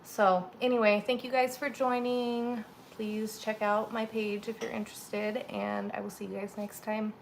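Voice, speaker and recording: A woman hosting a cooking livestream from her home kitchen, with a raspy voice from feeling a little sick, chatting loosely as she explains each step. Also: medium pitch, flat delivery, unhurried. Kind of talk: casual cooking livestream chat. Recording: noisy home kitchen recording.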